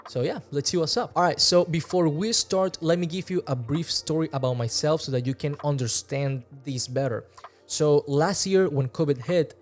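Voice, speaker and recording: A man's voice: a little high, moderate pace, soft background music. A man in his twenties from Venezuela with a Venezuelan Spanish accent, talking earnestly to camera in his second language, close to a studio mic in a small room. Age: 20s. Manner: relaxed, earnest, gestures with hands while talking. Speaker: fitness vlogger. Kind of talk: casual talk to camera. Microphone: large studio mic close in front.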